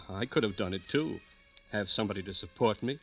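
An actor playing a pretentious, touchy bohemian would-be writer, affected and self-important, in an old radio crime drama. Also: male voice, fairly low pitch, moderate pace, close to an everyday voice. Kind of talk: 1940s-50s radio crime drama acting. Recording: muffled old radio recording with music.

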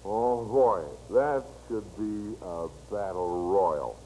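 A man's voice: lowish voice, takes it slowly, dark tone, a little hiss or hum, poor audio. An American man hosting an old-time radio quiz show in a folksy, encouraging manner, on a vintage broadcast recording. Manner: folksy, encouraging toward child contestants.

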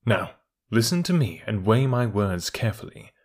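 A middle-aged ex-inspector who talks dry and hard, every word firm and exact, as a male narrator reads him. He sounds calm and sure of his grip. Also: lowish voice, normal speed. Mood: menacing.

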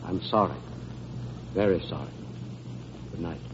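An actor playing a grave, sympathetic doctor in an old radio drama, solemn and regretful. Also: male voice, low-pitched, a little slow, noisy background. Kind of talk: 1940s radio drama acting. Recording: muffled old radio, music behind.

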